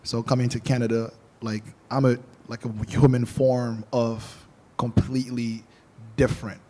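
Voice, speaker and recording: A man's voice: mid-range voice, a little slow. Young guy from angola, first language portuguese, chatty storyteller on a podcast panel. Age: young adult. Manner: storytelling, animated quoting of others. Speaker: podcast guest. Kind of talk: casual panel discussion.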